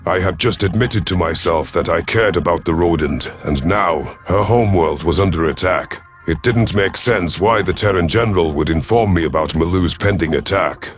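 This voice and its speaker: A man voicing a proud, vengeful elderly alien reptilian commander in an audio drama, speaking in a formal, measured, sardonic way. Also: sits low, close to an everyday voice. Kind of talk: audio drama first-person narration and dialogue.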